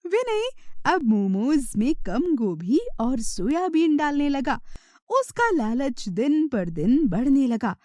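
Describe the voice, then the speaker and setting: Female narrator, children's moral tales: slow, lively intonation, dry recording. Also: high voice, no echo.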